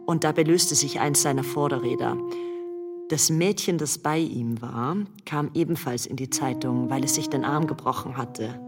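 Low-voiced, cool young society woman. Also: loud music behind the voice. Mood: confiding. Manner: confiding, measured storytelling. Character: cool, knowing young American society woman confiding a story. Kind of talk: German radio play acting.

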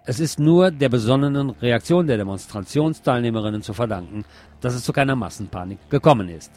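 A man's voice: medium pitch, lively intonation, brisk, darkish tone. A man presenting a radio news segment, reading reports and press statements from a script.

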